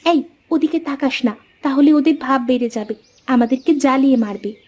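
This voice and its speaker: A woman voicing a bashful but bossy young village sister in a cartoon fairy tale, animated and scolding. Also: high-pitched, a little slow.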